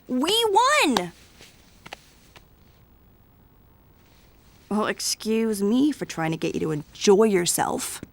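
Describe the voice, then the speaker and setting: A flirtatious, thrill-seeking video game antiheroine with a sardonic streak. She takes her time, sliding up and down in pitch as she teases, over light background music. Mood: playful, defensive. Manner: teasing, sardonic. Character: playful, teasing, flirtatious masked female thrill-seeking antihero. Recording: music behind the voice.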